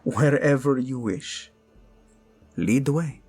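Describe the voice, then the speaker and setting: Man playing a slow-spoken romantic king, Spanish accent. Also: mid-range voice, a little mellow, slightly rough, faint music behind. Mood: playful, tender. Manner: tender, halting, dramatic, sprinkles in endearments. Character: protective young king, tender, lovesick, proud, playful romantic. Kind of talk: intimate romantic audio drama acting addressed to listener.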